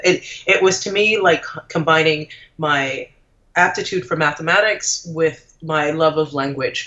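A woman's voice: low-pitched, fairly bright tone. A woman from Trinidad, a lawyer turned blogger, chatting reflectively as an interview guest and thinking aloud as she goes. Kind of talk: casual conversational interview. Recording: dull lo-fi audio.

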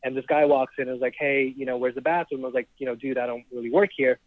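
A man in his thirties from Trinidad and Tobago, a startup founder telling his story in a relaxed interview, with lots of ums and restarts. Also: medium pitch, quick pace, no echo. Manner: relaxed, laughs often, many ums and restarts. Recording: dry, tinny phone-like remote line.

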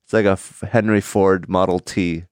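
A young man talking in casual chat, deadpan and halting, with pauses and fillers. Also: sits low, clean tone, no echo, clean studio sound. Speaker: comedian guest.

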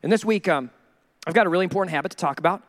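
A male pastor preaching a sermon in an animated, joking way, acting out the people he quotes. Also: sits high, rapid-fire, big-room sound. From Minnesota.

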